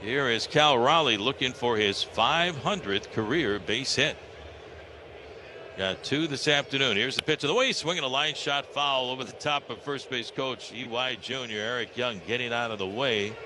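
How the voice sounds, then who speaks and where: An American man calling a live baseball game on the radio, in clipped descriptive phrases with some drawn-out calls. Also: mid-range voice. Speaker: baseball radio play-by-play announcer. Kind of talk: live play-by-play commentary. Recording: radio broadcast with clear background noise.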